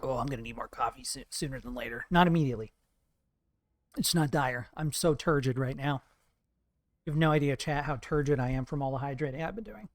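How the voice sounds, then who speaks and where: A man's voice: mid-range voice, fairly quick. A man streaming live, chatting casually and profanely with his viewers while gaming, playful and teasing.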